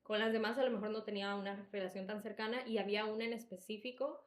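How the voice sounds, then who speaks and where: A young woman with a Salvadoran accent softened by Mexican, telling personal stories in a candid, emphatic, conversational way as a podcast host. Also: mid-range voice, steady tone, fast talker, slight background noise. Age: young adult. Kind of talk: casual personal storytelling. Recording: echoey room.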